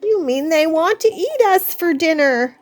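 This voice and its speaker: A woman's voice: very high-pitched, expressive, a little slow, faint music behind, voice pushed up. A woman voicing a frightened, panicky male skeleton in a children's storybook, with a screechy, urgent delivery. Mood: wary. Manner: alarmed, urgent exclaiming.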